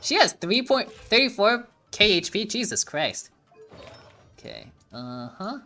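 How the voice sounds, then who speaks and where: A woman's voice: medium pitch, big pitch swings, a little slow, pushed and bright, soft background music. A woman giving casual gameplay commentary, reacting loosely with mumbles and swearing. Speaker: game streamer.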